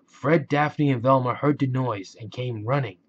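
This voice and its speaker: A man's voice: medium pitch, unhurried, dull audio. A man narrating a children's storybook aloud.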